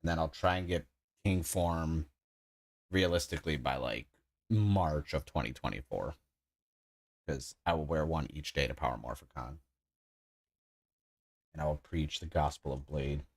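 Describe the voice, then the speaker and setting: Man, 30s, extremely low voice, chatty, rambling livestream builder. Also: measured pace, pauses often. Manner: rambling, enthusiastic, frequent "like" fillers. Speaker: streamer building a model kit. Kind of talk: casual livestream chat while building.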